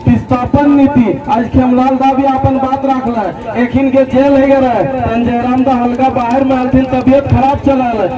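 High-voiced man rousing a rally crowd. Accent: Jharkhand regional Hindi. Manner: fiery, chant-leading, rhetorical. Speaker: rally speaker. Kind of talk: political rally speech. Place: outdoor crowded rally under a tent. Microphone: handheld microphone over loudspeakers, filmed by phone. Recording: distant, muffled PA sound with loud crowd.

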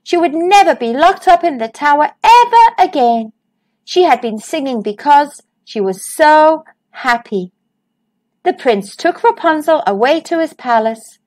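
A woman host reading a children's picture book aloud in a slow, sing-song storytime voice, recorded as a close voice-over. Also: high-pitched, expressive, darkish tone.

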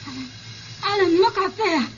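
Very high-pitched, frightened young wife. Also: female voice, lively intonation, moderate pace. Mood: alarmed, awestruck. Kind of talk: old-time radio drama acting. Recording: muffled, noisy vintage radio broadcast.

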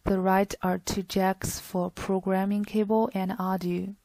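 Slow, monotone woman narrating a product guide. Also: mid-range voice, no room sound. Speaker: product demonstrator. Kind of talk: scripted product tutorial narration.

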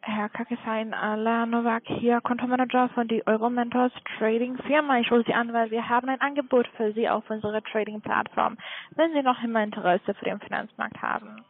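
A woman with a foreign accent in German making a polite, halting sales call as a non-native speaker. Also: fairly high pitch, fairly even intonation, creaky. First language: not German. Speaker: telemarketing caller for a trading firm. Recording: tinny phone line.

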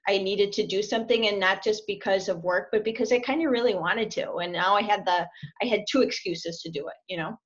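A woman's voice: medium pitch, brisk. Chatty woman on a lo-fi video call. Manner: chatty, laughs often, hesitant restarts. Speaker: language student. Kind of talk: casual interview over video call. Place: small room at home. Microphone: laptop webcam on video call. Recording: dull lo-fi video call audio.

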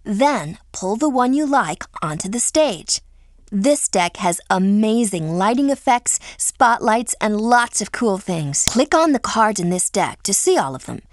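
A woman voicing a friendly teenage high school girl who gives clear, upbeat instructions in a dance video game. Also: sing-song, measured pace, no room sound. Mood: upbeat, helpful.

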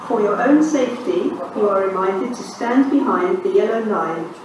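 A woman's voice: mid-range voice, lively intonation, moderate pace. A British woman giving formal, automated railway station announcements, scripted and pieced together phrase by phrase. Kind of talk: scripted public-address announcement. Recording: echoey station PA, distant, noisy.

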